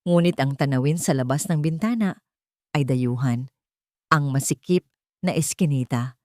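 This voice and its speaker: A woman's voice: very deep voice, moderate pace, a little mellow, no room sound, clean studio sound. A woman narrating a scripted romance story in a theatrical, emphatic manner.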